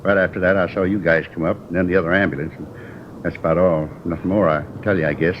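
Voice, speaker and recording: An American actor playing a chatty, eager newspaper vendor as a shaken eyewitness in 1950s radio drama style. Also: male voice, sits low, fairly even intonation, quick pace, lightly acted. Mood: rambling, shaken. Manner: rambling, repetitive, folksy. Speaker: supporting actor. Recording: dull, noisy old radio recording.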